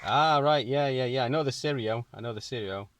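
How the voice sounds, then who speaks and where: A man's voice: mid-range voice, fairly quick, background noise. A man with a British accent chatting casually as a radio operator, repeating phrases for clarity. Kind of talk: casual amateur radio chat. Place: inside a parked or mobile car.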